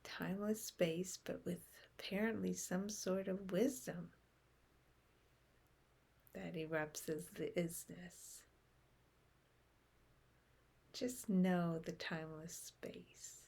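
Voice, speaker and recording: Female meditation guide: lowish pitch, gravelly fry, soothing and gentle. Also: fairly even intonation, takes it slowly. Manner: gentle, soothing, with soft breathy phrase endings.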